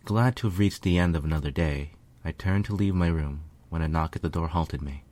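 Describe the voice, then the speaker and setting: A man's voice: low-pitched, brisk, a little mellow, a little hiss or hum. A man reading a piece of fiction aloud as a scripted narration. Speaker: fan fiction reader, narrator.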